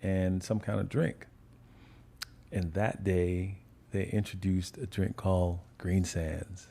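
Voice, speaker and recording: A man, a podcast guest telling relaxed stories in casual chat and laughing often. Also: deep voice, slow pace, vocal fry, husky. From Jamaica.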